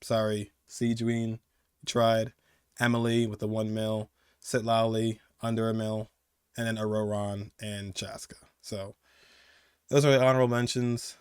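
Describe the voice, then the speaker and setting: A man hosting a gaming countdown video with casual, excited commentary in an informal, rambling and emphatic way. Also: lowish voice, a little slow, clear, resonant tone, dry recording, studio quality.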